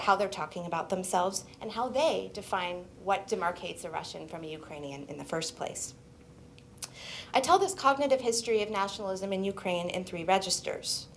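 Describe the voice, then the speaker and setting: A woman historian presenting her book at an academic seminar, speaking in a measured, scholarly way with occasional restarts. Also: medium pitch, a little hiss or hum. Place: seminar room.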